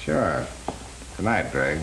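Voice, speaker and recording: A man's voice: a bass voice, wildly swooping pitch, slow, vocal fry, heavily acted. An actor playing a businesslike racketeer henchman in a 1930s film, on a noisy, crackly soundtrack.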